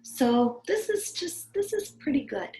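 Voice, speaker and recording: A woman cantor hosts a story time for children in a high-pitched voice. She takes her time and puts simple questions to her young listeners. The room adds a clear echo. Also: very animated, a little slow, mellow. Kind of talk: children's story time, talking and reading aloud.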